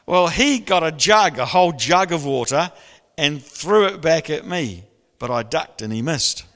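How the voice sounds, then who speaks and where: A gravelly middle-aged man preaches in a reverberant church hall. His voice sits a little high and swings widely as he tells stories with animated energy. A faint rough edge runs through his words. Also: a little slow. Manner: animated storytelling with bursts of laughter. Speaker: pastor. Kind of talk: sermon.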